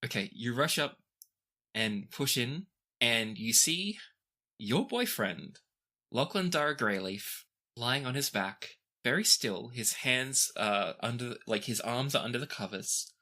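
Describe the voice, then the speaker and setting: A man's voice: medium pitch, lively intonation, measured pace, bright, forceful tone. A man running a tabletop role-playing game, narrating scenes to the players as game master in the second person.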